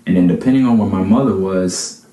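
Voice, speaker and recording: Low-voiced man in his thirties, echoey. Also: fairly quick, dark tone. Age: 30s. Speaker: returning interview guest. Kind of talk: casual chat. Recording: echoey room.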